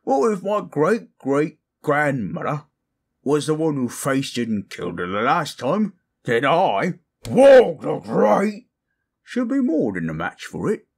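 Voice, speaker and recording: Casting: male performer as a brash monstrous fighter, loud and blustering, pitched up, for fantasy roleplay. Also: sing-song, slow, vocal fry, husky, heavily acted. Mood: boastful.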